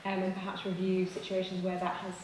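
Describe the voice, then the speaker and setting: A woman councillor giving a polite, semi-formal and halting spoken reply at a meeting. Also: lowish voice, monotone, a little mellow. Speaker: councillor answering a public question. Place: large echoing council chamber. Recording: very echoey room, distant mic, faint noise.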